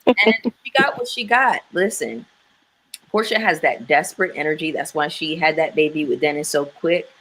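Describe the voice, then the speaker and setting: A woman's voice: low voice, animated, normal speed, fairly bright tone, a little hiss or hum, rough recording. A woman chatting frankly and with strong opinions about a reality show, with many fillers. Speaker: panelist.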